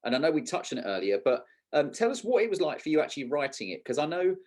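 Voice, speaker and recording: A man's voice: on the high side, sing-song, fast talker, a little mellow. A man hosting a podcast, putting questions in an informal, conversational and slightly rambling way. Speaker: podcast host, interviewer. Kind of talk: casual conversational interviewing.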